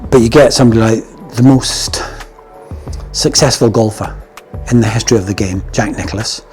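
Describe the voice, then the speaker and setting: Chatty Scottish golf coach, blunt and jokey. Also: male voice, fairly low pitch, normal speed, darkish tone, soft background music. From Scotland. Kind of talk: casual instructional talk.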